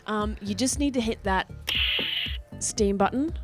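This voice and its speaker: An Australian woman presents a podcast introduction in a conversational, scripted style. Her pitch is average for a woman. Music is clearly audible beneath her. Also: unhurried. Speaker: podcast co-host, performer.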